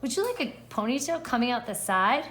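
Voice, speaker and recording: A woman's voice: fairly high pitch, very animated, fairly quick, fairly bright tone. A woman voicing a patient but doubtful mother from a storybook, questioning her young daughter. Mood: patient, asking.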